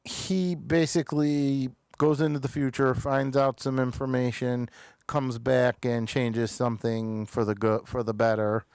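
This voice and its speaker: A man's voice: medium pitch, little pitch movement, unhurried, a little mellow, smooth, no room sound. A man hosting a casual review chat, often hesitant as he searches for words and details. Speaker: show host reviewing television episodes.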